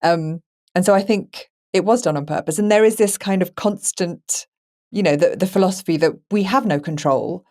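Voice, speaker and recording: A woman with a lowish voice speaks as a podcast co-host. She talks in a relaxed conversational style, thinking her points through as she discusses a film. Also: normal speed.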